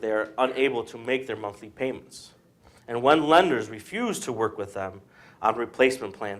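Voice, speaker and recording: A young man, a student reader, delivering a formal scripted statement read from the page. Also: medium pitch. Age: young adult.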